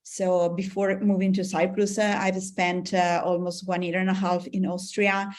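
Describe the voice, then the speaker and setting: A woman's voice: on the low side, flat delivery, moderate pace. A woman in her forties with an Italian accent, a guest in a casual interview who speaks hesitantly with many fillers and frequent laughs. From Italy. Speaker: guest, business coach.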